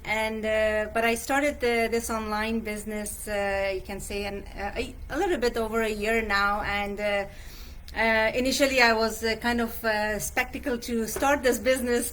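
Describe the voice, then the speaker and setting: A woman from Canada, a real estate agent, answering interview questions softly and hesitantly with many fillers. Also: fairly high pitch. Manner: hesitant, soft, with fillers and small laughs. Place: hotel room.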